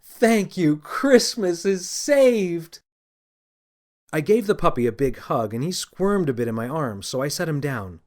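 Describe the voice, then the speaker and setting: A man narrating a scripted children's story in the first person with an expressive, dramatic delivery. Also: medium pitch, brisk, few pauses. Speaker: author narrating own audiobook.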